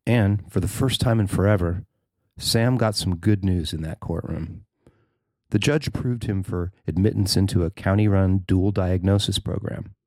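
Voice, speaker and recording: A man with a low voice reads a written news feature aloud as narrator. The sound is dry, with very little room around him. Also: normal speed, a little mellow, dry recording. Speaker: writer and narrator of a feature story.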